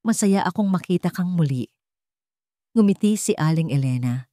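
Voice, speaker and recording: Low-voiced woman narrating a story. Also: lively intonation, warm tone, no echo, clean studio sound. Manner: dramatic, measured storytelling.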